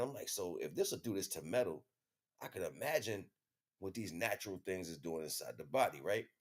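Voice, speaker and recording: Male livestream host, very deep pitch, loose slangy storyteller, informal rambling delivery. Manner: slangy, animated storytelling with frequent check-ins. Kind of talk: casual rambling monologue.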